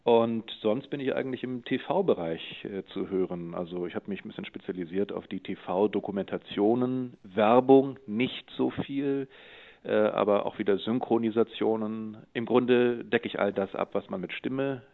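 A man's voice: fairly low pitch, moderate pace, gravelly. A middle-aged man speaking conversationally as an interviewed voice actor, telling anecdotes over a thin phone-like line.

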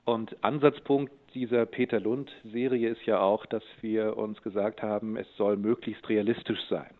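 A man's voice: fairly low pitch, fairly even intonation, normal speed. A middle-aged man speaking conversationally as an interviewed voice actor, telling anecdotes over a thin phone-like line.

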